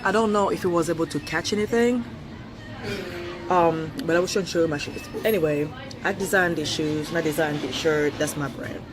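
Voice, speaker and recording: A woman's voice: low voice, a little fast. A woman in her thirties from Switzerland, whose first language is French, speaking with a Swiss French accent with a touch of British. She talks bluntly and chattily in a casual vlog, laughing often and swearing freely. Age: 30s. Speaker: vlogger.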